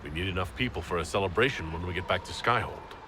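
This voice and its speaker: A man playing a steady, modest hero who leads an army in a fantasy game, with a plain, commanding, direct manner. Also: deep voice, fast talker, a little hiss or hum. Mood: calm authority. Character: steady, modest heroic male leader of a holy army. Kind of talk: dramatic fantasy game acting.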